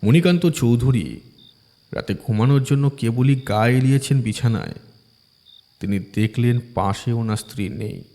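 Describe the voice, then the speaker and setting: A man narrating a village ghost story in a measured, dramatic manner. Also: medium pitch, lively intonation, creaky, warm tone. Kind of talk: scripted horror audio story narration.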